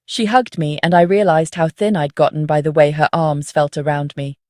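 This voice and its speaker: Low-voiced woman narrating, dry close sound. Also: fairly even intonation, normal speed, mellow, no echo. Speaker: narrator of a dark psychological story. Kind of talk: scripted first-person narration.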